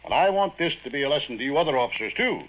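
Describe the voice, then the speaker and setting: A man's voice: on the high side, expressive, rapid-fire, natural voice. A man playing a blustering, exasperated older general, pompous and sputtering, with an American accent, in 1940s radio acting style. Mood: stern, blustering. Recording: muffled old radio broadcast.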